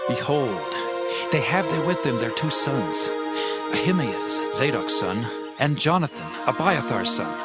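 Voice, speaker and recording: A man's voice: medium pitch, very animated, measured pace. An actor playing a grieving, devout king in a dramatized scripture audiobook, speaking in a solemn, archaic, declamatory way. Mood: earnest, instructing. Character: grieving, devout king fleeing his rebel son. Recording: loud music under the voice.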